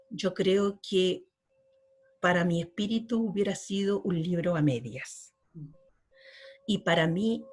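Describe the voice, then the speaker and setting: A middle-aged woman from Chile, a poet being interviewed, speaking slowly and earnestly and often repeating her words. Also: medium pitch, mellow, husky. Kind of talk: reflective interview talk.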